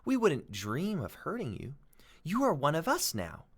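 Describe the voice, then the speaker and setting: A man's voice: fairly high pitch, wildly swooping pitch, measured pace, slightly rough, full character voice. A man voicing a kind, motherly, exclamatory giant female ladybug in a storybook. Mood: kindly reassuring.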